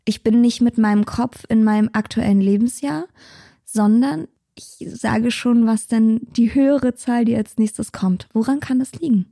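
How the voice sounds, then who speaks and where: A woman's voice: on the high side, normal speed, vocal fry, warm tone, no echo, clean studio sound. A woman, co-hosting a podcast in casual chat, teasing and laughing often. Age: 30s. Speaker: podcast co-host.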